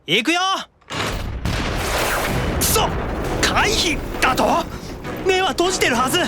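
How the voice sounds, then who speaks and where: A man's voice: very high-pitched, very animated, takes it slowly, loud music behind the voice, heavily acted. A man playing an irritable, flustered young demon brother in an anime-style audio drama, exclamatory and exasperated. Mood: worked up, shouting.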